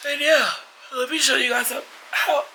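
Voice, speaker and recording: A young woman with a hoarse, congested voice from a cold, chatting casually about her reading from home. She rambles, with lots of fillers and restarts. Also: sits high, steady tone, moderate pace, noisy background. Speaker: book vlogger. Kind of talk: casual chat. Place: at home in bed.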